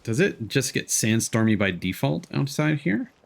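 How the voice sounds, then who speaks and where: Animated man live-streaming a game. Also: medium pitch, normal speed, gravelly. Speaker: game livestreamer, host. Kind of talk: casual live game commentary.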